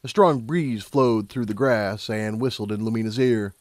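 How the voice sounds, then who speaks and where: A man reading scripted fiction aloud as an expressive story narrator. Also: on the low side, moderate pace, dry recording, clean studio sound.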